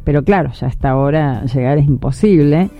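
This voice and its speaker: A woman's voice: low voice, fairly quick, dull audio, faint music behind. An Argentine woman with a Córdoba accent hosting a chatty early-morning call-in radio show and reading out listener messages. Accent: Córdoba Argentine Spanish. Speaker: radio host.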